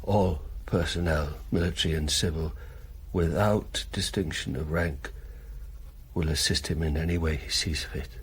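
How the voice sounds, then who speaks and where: An actor playing a dutiful, uneasy German army colonel in a radio drama, formal and guarded, with a husky voice. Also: male voice, a bass voice, normal speed, heavily acted. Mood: formal reading. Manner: formal, guarded, deferential yet firm. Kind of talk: broadcast radio drama acting.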